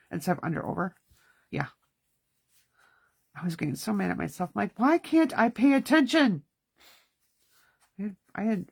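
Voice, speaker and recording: A woman's voice: medium pitch, creaky, no echo. A woman hosting a casual hands-on card-making class, talking to herself as she works, her nose stuffy. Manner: chatty, self-talking while working. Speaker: craft class host. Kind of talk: casual hands-on craft demonstration.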